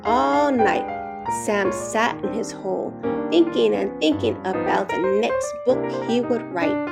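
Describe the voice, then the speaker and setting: A woman reading a children's story aloud expressively, with slow, deliberate, dramatic emphasis on key words. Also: a little high, vocal fry, loud music behind the voice. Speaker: storytime reader.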